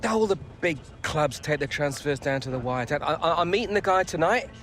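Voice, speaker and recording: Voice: mid-range voice, expressive, moderate pace, a bit bright, slight background noise. An actor playing a glib, reassuring football agent in a video game cutscene. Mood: breezy, reassuring.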